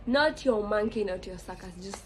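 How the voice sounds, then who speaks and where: Young woman, Kenyan accent, chatty and rambling, mid-pitched voice, faint hiss. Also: animated, normal speed. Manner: rambling, frequent fillers and self-corrections. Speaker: vlogger. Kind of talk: casual reflective monologue.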